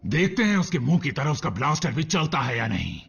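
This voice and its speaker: An actor playing a big, resentful robot warrior in a cartoon dub, with a husky, gravelly grumble. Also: male voice, fairly high pitch, very animated, a little fast, highs cut off, full character voice.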